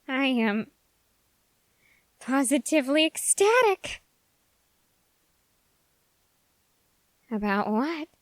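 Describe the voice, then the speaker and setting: A woman playing an obsessive young male soldier fascinated by demons in an ASMR audio roleplay, with intense, halting, formally worded delivery straight to the listener. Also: on the high side, dry recording. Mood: eager, ecstatic.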